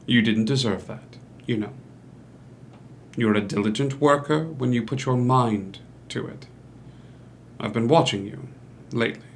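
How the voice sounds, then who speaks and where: Man acting a formal, commanding gentleman schemer. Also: mid-range voice, gravelly, slight background noise. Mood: stern, then soothing. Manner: formal, measured, manipulative. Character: commanding, smooth-talking scheming male doctor and businessman. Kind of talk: musical table read, dramatic stage acting.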